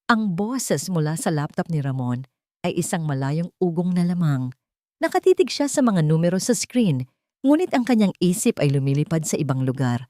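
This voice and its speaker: A woman narrating a dramatic romance story in a soft, emotive way. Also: deep voice, big pitch swings, moderate pace, dry recording, studio quality.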